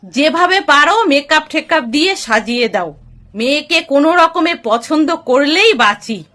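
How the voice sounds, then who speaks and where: A woman voicing a harsh, gruff, bitter father who scolds with contempt in a narrated story. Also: very high voice, expressive, measured pace, pushed and bright, heavily acted. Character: harsh, bitter, scornful father of an unwanted daughter.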